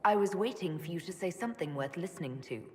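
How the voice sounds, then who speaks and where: An actress playing a level-headed, guarded leader in a fantasy video game, speaking in a dry, direct way. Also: female voice, lowish voice, animated, quick pace, lightly acted. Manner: dry, direct, matter-of-fact. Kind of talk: video game character dialogue. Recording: long hall reverb.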